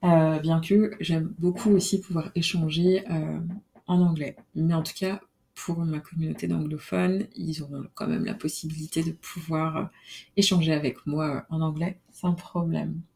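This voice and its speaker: A woman hosting her own podcast alone, talking in a relaxed, reflective way with many fillers and restarts. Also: deep voice, normal speed, gravelly. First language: French. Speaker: podcast host. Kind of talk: casual solo podcast monologue.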